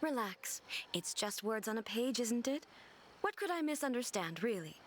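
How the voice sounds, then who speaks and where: A woman voicing a calm, regal female ruler in a game, speaking in a composed and measured way. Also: on the high side, slight background noise.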